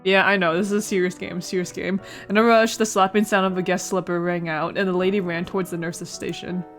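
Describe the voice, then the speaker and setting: A woman's voice: lowish voice, little pitch movement, a little fast. A woman hosting a casual let's play, reading a visual novel aloud with playful asides and stumbles over words. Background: music.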